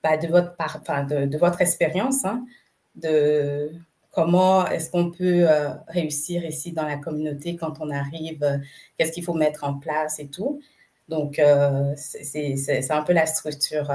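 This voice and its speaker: A woman hosting a podcast interview, with a notably low voice. She speaks hesitantly, filling her sentences with fillers and repeating words as she sets up her questions. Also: fairly even intonation, unhurried, mellow. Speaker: podcast host.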